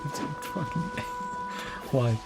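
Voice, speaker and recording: A man's voice: a little high, extremely slow. A man giving casual gameplay commentary, muttering and thinking aloud to himself as he plays. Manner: mutters to self, sings syllables, thinks aloud. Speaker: streamer reacting to a game. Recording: game music behind voice. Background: game music.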